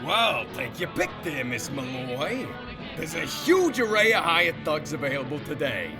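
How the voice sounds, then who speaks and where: High-pitched, animated space-saloon barkeep. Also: male voice, a little slow. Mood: salesman's relish. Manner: drawling, theatrical, wry. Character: folksy, wisecracking male space-saloon barkeep, showy salesman. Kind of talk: space western audio drama acting. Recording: music under the voice.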